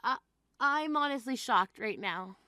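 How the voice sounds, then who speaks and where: A woman's voice: fairly high pitch, lively intonation, measured pace, pushed and bright, smooth, no room sound, clean studio sound. A woman playing an exasperated, grieving sister who scolds in an incredulous, reproachful way in a dramatized story. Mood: shocked, indignant. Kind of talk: dramatized phone-call story dialogue.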